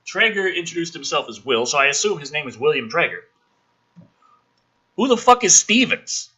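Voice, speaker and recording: A man hosting a theatrical script reading, with dramatic emphasis and sarcastic, exasperated commentary in a rough-edged voice. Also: on the high side, sing-song, normal speed, sharp tone, dull audio. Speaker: host reading and mocking a film script.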